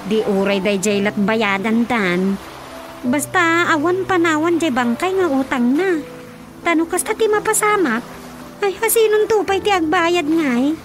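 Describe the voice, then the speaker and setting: An actress playing a practical, matter-of-fact young daughter with an Ilocano accent in a radio drama. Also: female voice, high voice, unhurried. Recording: music under the voice.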